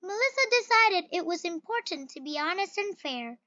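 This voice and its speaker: A reader with an expressive, carefully phrased delivery, reading a children's picture book aloud. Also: extremely high pitch, moderate pace, dry recording, dull audio. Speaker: story reader.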